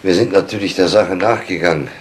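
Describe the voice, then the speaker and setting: An actor playing a cautious, evasive company official with formal, measured phrasing in a 1970s radio crime drama. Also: male voice, low-pitched, steady tone, close to an everyday voice. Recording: old radio recording with faint noise.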